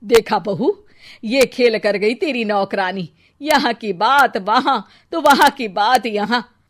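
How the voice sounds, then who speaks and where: Woman acting sharp-tongued, high-voiced older mother-in-law. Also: slow pace. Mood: wry. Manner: scolding, indignant, theatrical. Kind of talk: animated Hindi comic story acting.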